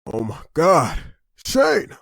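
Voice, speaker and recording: A man's voice: a little high, huge pitch swings, drawn-out words, gravelly, fairly bright tone, no echo, clean studio sound, full character voice. A man playing a weary survivor, an anxious husband and father, in a dubbed comic drama. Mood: relieved, tender.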